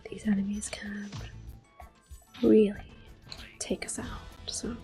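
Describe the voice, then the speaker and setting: A woman in soft, unhurried whispers, commenting on her gameplay as an ASMR gamer. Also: medium pitch, level tone. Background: soft game music and controller clicks.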